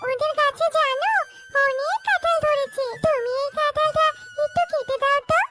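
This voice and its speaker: Someone doing a tiny squeaky fox girl, eager and pleading, kids cartoon with music under it. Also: fairly even intonation, normal speed. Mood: excited. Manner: childlike, coaxing. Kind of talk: children's cartoon dubbing.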